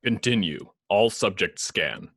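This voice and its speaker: A man's voice: fairly low pitch, lively intonation, unhurried, a bit bright, husky, no echo, heavily acted. A man playing a grim, paranoid, watchful vigilante in a superhero script read, speaking tersely and ominously. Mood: commanding.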